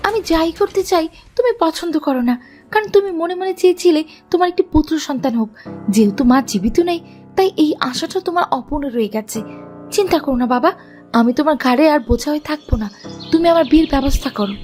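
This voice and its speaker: Fairy-tale cartoon heroine: high voice, bold, stubborn village girl of marrying age played by a woman, quick to take offence. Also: darkish tone, soft background music, close to an everyday voice. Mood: hurt, sulky. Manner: defiant, emphatic.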